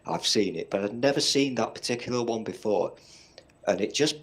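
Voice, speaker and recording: A man's voice: mid-range voice, normal speed. A middle-aged man with a Northern English accent, chatting casually on a podcast, blunt and swearing freely as he rambles through stories. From Northern England. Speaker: podcast co-host. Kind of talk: casual chat.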